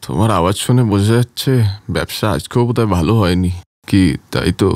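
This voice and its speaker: A gentle, caring husband in a cartoon drama, played by a man. His voice is low, concerned for his wife, and recorded dry. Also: expressive, fast talker, no echo. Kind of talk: cartoon story voice acting.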